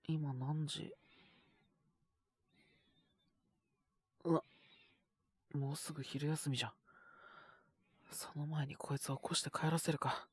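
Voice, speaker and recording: A woman voicing a gruff, teasing teenage schoolboy who secretly cares, in a boys' love audio drama. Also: fairly even intonation, normal speed, no room sound. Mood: muttering, tender. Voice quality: low and husky. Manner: blunt, teasing, rough casual boyish speech.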